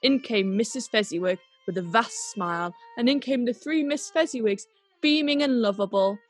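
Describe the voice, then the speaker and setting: A woman's voice: a little high, normal speed, close to an everyday voice. A woman narrating a classic tale as a scripted radio play, in storybook style. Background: music.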